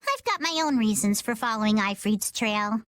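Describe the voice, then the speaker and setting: A woman's voice: fairly high pitch, animated, normal speed, smooth, natural voice. A woman voicing a plucky, feisty little girl adventurer in a video game, spirited and assertive with a folksy way of talking. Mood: earnest, hopeful. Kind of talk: video game dub acting.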